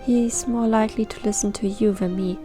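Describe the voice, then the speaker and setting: A woman's voice: mid-range voice, fairly even intonation, brisk, mellow, soft background music, close to an everyday voice. A woman voicing a flustered, timid young girl who stammers and rambles earnestly in a fan fiction reading. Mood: worried, rambling.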